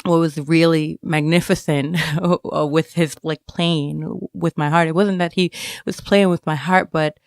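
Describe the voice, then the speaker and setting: A woman being interviewed, talking casually and reflectively, halting as she searches for words. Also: low-pitched, steady tone, vocal fry, a little mellow, no echo, studio quality. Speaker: interviewed student writer.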